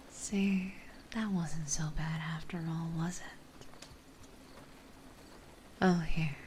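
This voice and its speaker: A woman playing a gentle, dominant vampire mistress in an intimate ASMR roleplay, soft and breathy, often whispering, with a refined, coaxing manner. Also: low voice, noisy background. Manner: slow, coaxing, refined and reassuring.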